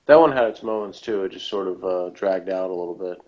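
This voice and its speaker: Low-voiced man rambling through a review. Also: brisk, darkish tone, dull audio. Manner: rambling, loosely phrased. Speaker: review show co-host. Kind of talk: casual opinionated episode review chat.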